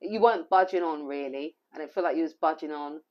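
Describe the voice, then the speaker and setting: A hoarse woman giving an intuitive tarot reading, rambling and repeating phrases with plenty of fillers. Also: sits low, fast talker, dull audio. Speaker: tarot reader.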